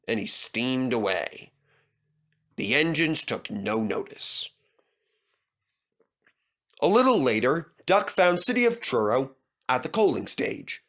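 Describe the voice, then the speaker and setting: A man's voice: medium pitch, sing-song, slow pace, pauses often, fairly bright tone. A man reading a scripted children's story as the storyteller.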